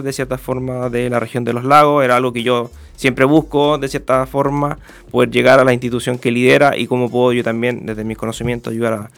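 Young man, Chilean accent, clean resonant voice, mid pitch, interview guest, polite, measured explanations. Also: a bit bright, clear, resonant tone. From Viña del Mar, Chile. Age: young adult. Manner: polite, measured, explanatory, hedging with set phrases. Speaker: interview guest, clinic commercial manager. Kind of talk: conversational interview answers.

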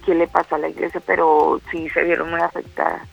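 A woman's voice: deep voice, little pitch movement, fairly quick, vocal fry, lo-fi sound, soft background music. A middle-aged Colombian woman speaking by phone, hesitant, with many fillers and repeated words. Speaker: guest caller. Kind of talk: phone interview.

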